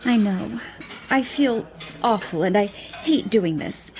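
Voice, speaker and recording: An actress as a woman scientist in an audio drama, mid-pitched and articulate. She is brisk and overworked, with a dry, sarcastic wit and an affectionate side. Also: over music, close to an everyday voice. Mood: exasperated.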